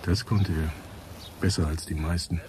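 A man's voice: low-pitched, steady tone, normal speed, crowd noise, natural voice. An actor playing a weary male scientist musing alone on his memories in a reflective radio drama monologue. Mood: wistful, wry.

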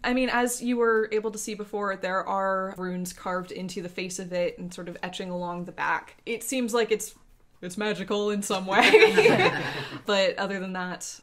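A woman running a tabletop fantasy game as game master, narrating in measured, descriptive phrases like a storyteller. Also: on the low side. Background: background music.

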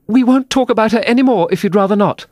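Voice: mid-range voice, fast talker, a little mellow, no echo, clean studio sound, voice pushed up, natural voice. A narrator voicing a polite, chatty little girl in a storybook, with a gushing, earnest manner. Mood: apologetic.

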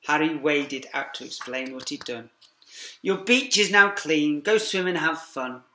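A middle-aged man teacher reading a rhyming picture book aloud to young children in a lively sing-song way, with quiet asides to them, filmed on a laptop webcam in a classroom. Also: on the high side, unhurried, a little hiss or hum. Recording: echoey classroom.

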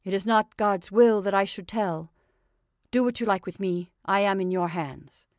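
A weary old male prisoner, read by a woman in a storybook, long-suffering and heavy with old grief. The voice sits a little higher and louder than her plain narration. The sound is close and dry. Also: normal speed, no room sound, lightly acted. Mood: defiant. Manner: bitter, then forgiving.

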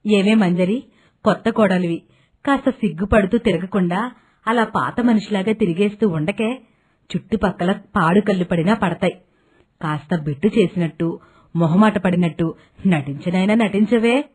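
A woman's voice: mid-range voice, moderate pace, a little mellow, breathy edge, natural voice. A woman playing a kindly, naive middle-aged village mother who talks in a chatty, affectionate way. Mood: teasing scolding.

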